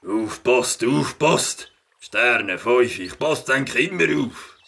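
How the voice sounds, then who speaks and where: A man playing a grumpy, scornful rider in a children's audio play, raspy and gravelly, with a Swiss German accent. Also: medium pitch, expressive, slow, pushed and bright, heavily acted. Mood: grumbling, defensive.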